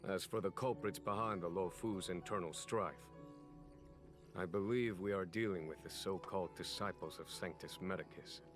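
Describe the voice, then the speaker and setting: Actor as a deep-voiced, composed general. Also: male voice, natural voice. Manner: measured, composed, formal. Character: calm, authoritative adult male general. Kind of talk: video game voice acting.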